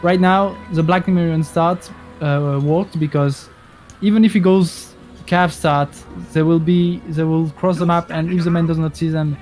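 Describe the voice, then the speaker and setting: A man co-casts a strategy game, breaking down tactics in halting sentences that often restart. His voice is fairly high for a man, and music plays softly behind him. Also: steady tone. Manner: halting, explanatory, with many restarts. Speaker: co-caster. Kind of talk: game analysis commentary.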